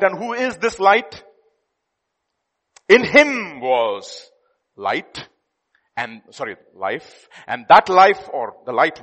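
Animated preacher in a reverberant hall. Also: male voice, high-pitched. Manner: emphatic, swinging between loud and hushed. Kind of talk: sermon.

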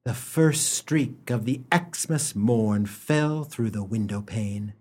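A man's voice: lowish voice, slow, darkish tone, husky. Man acting as theatrical, mock-solemn radio storyteller. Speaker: narrator.

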